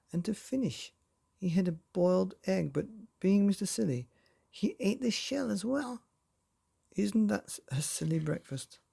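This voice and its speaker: A man narrating a children's bedtime storybook in a playful, expressive way, often putting questions to the listener. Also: a little high, creaky, slightly rough.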